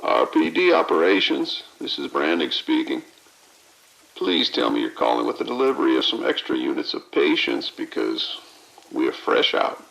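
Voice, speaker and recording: A man's voice: medium pitch, huge pitch swings, normal speed, gravelly, a bit bright, full character voice, rough recording. A man playing a weary, overwhelmed but friendly police officer in an audio drama, talking informally with exasperation. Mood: weary sarcasm. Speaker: police officer at operations desk. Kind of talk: audio drama acting, phone call.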